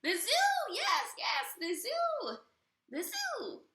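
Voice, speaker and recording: A woman's voice: very high voice, sing-song, slow, sharp tone, voice pushed up, heavily acted. A woman voicing an impatient, scheming witch who shrieks her ideas aloud. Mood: excited. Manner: screechy, shrieking exclamations. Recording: echoey room.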